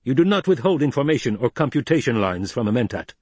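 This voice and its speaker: A man's voice: medium pitch, very animated, fast, darkish tone, husky, no room sound, voice pushed up. A man voicing a shrewd, bony old adviser in an audiobook, incisive, probing and contemptuous. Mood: stern, insistent. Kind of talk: dramatized audiobook reading.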